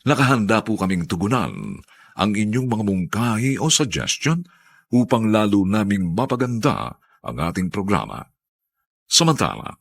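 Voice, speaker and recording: A man's voice: on the low side, gravelly, fairly bright tone, breathy edge. A man with a Filipino accent announcing a radio drama in dramatic scripted narration.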